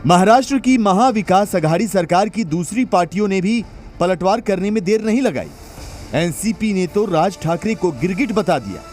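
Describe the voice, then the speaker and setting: A man's voice: high voice, lively intonation, normal speed, a little mellow, faint music behind. A man reading scripted news narration as a reporter, with a dramatic, emphatic delivery.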